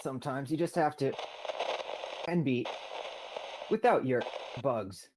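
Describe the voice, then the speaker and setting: A radio news announcer, acted by a teenage boy, delivers lines very slowly. The recording is clearly noisy, like a crackly broadcast. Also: mid-range voice, lively intonation. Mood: announcing. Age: teens. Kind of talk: student radio theatre acting.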